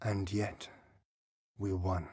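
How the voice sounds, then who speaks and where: An actor playing an earnest, formal young lord rallying war-weary people in a fantasy game. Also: male voice, low-pitched, flat delivery, dark tone, slightly rough, no echo, studio quality, full character voice. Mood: solemn, rousing. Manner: formal, measured, solemn oratory. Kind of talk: cinematic fantasy game voice acting.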